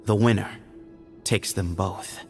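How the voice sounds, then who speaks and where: A man playing a composed, proud young temple heir in a video game, speaking in a measured, formal way. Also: deep voice, steady tone. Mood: solemn, resolute. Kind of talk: video game character acting. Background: music.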